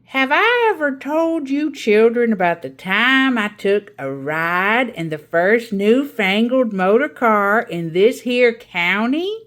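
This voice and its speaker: A woman's voice: on the high side, sing-song, slow, few pauses, a bit bright, pitched above the performer's own voice, full character voice. A woman voicing a feisty elderly great-aunt who spins folksy tall tales in a storybook read-aloud. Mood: indignant, boastful. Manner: folksy, crowing, theatrical drawl.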